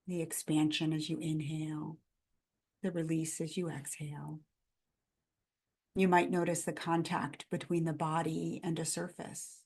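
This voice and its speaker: Very slow-paced woman guiding meditation. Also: deep voice. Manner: gentle, calm, instructional; measured phrases with long pauses. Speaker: meditation guide. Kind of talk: guided body scan meditation.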